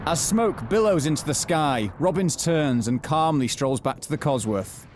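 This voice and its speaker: A man narrating a mock-thriller audio drama from a script, telling it in a florid, deadpan way. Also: on the high side, moderate pace, slight background noise, natural voice.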